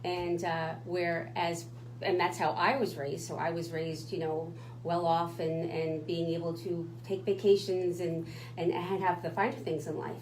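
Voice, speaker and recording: A woman's voice: on the low side, little pitch movement, a little slow, a little mellow, reverberant, a little hiss or hum, poor audio. A woman co-hosting a radio talk show, speaking in an emphatic, motivational way. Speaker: radio co-host.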